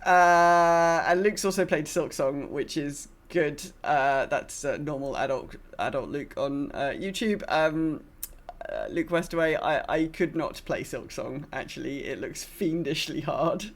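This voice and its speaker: A woman's voice: low-pitched, a little slow. A woman hosting a gaming podcast, chatting casually in a rambling, self-deprecating way. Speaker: podcast host.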